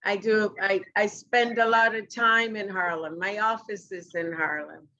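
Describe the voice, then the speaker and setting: Slow, deliberate woman hosting a podcast. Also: mid-range voice, steady tone, pushed and bright, muffled recording. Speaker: podcast host. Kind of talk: interview podcast. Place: home living room. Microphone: video call webcam.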